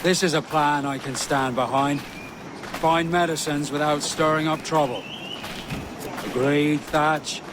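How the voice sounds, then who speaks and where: An actor playing a proud, disapproving middle-aged pirate captain in a stern, measured game performance. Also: male voice, on the high side, steady tone, noisy background, close to an everyday voice. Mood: grave. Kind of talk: video game cutscene acting.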